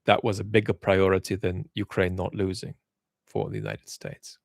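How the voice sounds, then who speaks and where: A man's voice: sits low, very animated, moderate pace, gravelly, no echo, clean studio sound. A man hosting a question-and-answer chat, speaking thoughtfully and often repeating words as he restarts a sentence. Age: adult, lived in Israel as a child aged nine to twelve. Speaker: channel host answering viewer questions. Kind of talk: livestream Q&A commentary.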